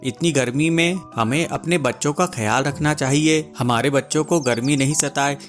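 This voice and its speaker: A man's voice: on the high side, normal speed, soft background music, natural voice. Man reading as a caring mother bird. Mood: worried. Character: worried, caring mother bird, a devoted wife.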